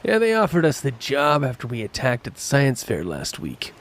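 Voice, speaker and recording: Man playing a young newsman, medium pitch. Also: huge pitch swings, moderate pace, a bit bright. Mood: upbeat. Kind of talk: audio drama character acting.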